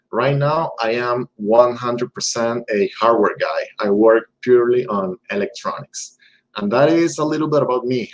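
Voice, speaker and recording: A young man from Venezuela whose first language is Spanish, giving a steady, measured talk to teens as a guest speaker. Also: mid-range voice, poor audio. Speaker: electrical engineer, guest speaker.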